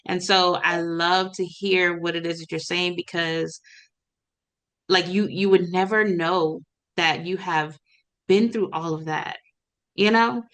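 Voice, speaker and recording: Woman podcast host, bright edgy tone, enthusiastic and encouraging. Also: on the low side, fairly even intonation, slow pace. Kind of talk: casual interview talk.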